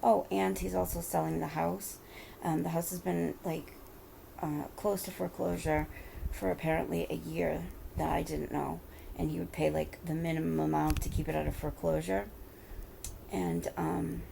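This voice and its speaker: A hoarse woman in her thirties talking at home in a halting, rambling vlog, full of fillers. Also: low voice, mellow, slight background noise, low-quality recording. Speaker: vlogger. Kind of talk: personal confessional vlog.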